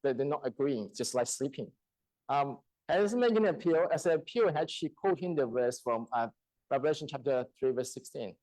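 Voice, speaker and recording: A man from China in his thirties, whose first language is a Chinese dialect, telling a personal testimony in church in a halting, storytelling way with frequent laughter. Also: mid-range voice, lively intonation, darkish tone, no echo, low-quality recording. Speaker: church speaker. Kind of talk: testimony sermon. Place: church hall.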